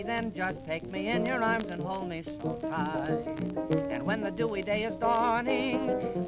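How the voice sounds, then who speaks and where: A man's voice: very high-pitched, low-quality recording. A man singing comic popular songs in 1930s radio style, on an old transcription disc. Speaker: comedy singer.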